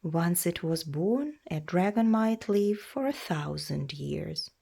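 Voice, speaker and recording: A woman reading a children's storybook aloud in a measured, expressive storytelling way. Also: on the low side, vocal fry, breathy edge. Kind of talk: read-aloud storybook narration.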